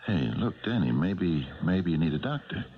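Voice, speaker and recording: An actor playing an earnest, halting retired policeman in a 1970s radio drama. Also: deep voice, sing-song. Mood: puzzled, reassuring. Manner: halting, deliberate, understated. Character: retired policeman, earnest, hesitant, worried and kindly. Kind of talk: 1970s radio drama acting with first-person narration. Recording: muffled old radio broadcast, music behind.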